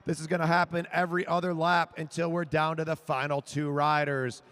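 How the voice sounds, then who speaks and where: Gravelly high-voiced race announcer. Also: male voice, a little fast. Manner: excited, shouting names over the finish. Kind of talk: live race commentary. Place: velodrome over a PA.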